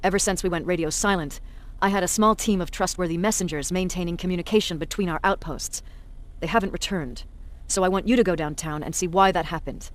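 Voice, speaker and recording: An actress playing a cynical, blunt resistance commander in a video game, with a measured, sardonic delivery. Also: female voice, fairly low pitch, lightly acted. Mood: brisk, commanding. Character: hard, cynical female resistance military commander, self-declared bully.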